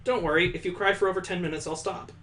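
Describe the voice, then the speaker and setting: A man plays a confident young girl from a visual novel game. She teases in a bouncy, sing-song way, cheeky and sure of herself. The pitch sits a little high for a man. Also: steady tone, fast, a bit bright. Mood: cheerful, confident. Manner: teasing, bouncy, sing-songy.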